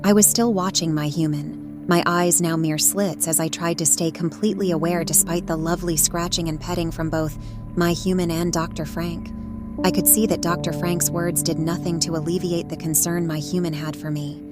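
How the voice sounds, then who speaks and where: Lady playing a caring female cat narrating her story, measured and earnest, music behind her. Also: lowish voice, little pitch movement, close to an everyday voice. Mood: tender, watchful. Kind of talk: first-person dramatic story narration. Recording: narration over prominent music.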